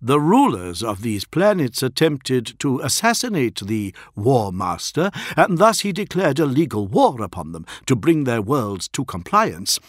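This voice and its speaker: A man voicing a foppish, deferential imperial envoy in an audiobook, careful and uneasy, with smooth formal phrasing. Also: medium pitch, very animated, a little slow, few pauses, dry recording, clean studio sound, voice pushed up. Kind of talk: dramatic audiobook character voice.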